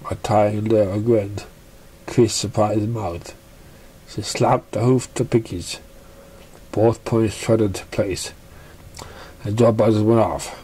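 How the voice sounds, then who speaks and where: A storyteller narrating fan fiction expressively, with a somewhat halting, stumbling delivery. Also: medium pitch, fairly even intonation, dark tone, breathy edge, low-quality recording.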